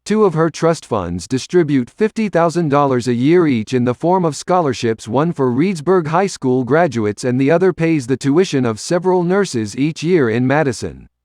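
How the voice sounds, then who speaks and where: A man's voice: a little high, expressive, normal speed, hardly any pauses, darkish tone, no room sound, clean studio sound. A man reading a scripted local history narration.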